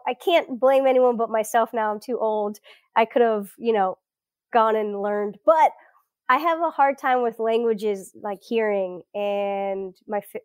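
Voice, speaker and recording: A woman's voice: on the high side, a little mellow, dry recording, studio quality. A woman in her thirties from South Florida, chatting as a guest in a casual interview, with many fillers and restarts. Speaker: guest researcher.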